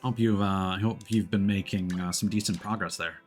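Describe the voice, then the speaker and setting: A man live streaming in casual chat, talking in a chatty, hesitant way with trailing pauses. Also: low voice, fairly even intonation. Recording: music playing behind the voice. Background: music.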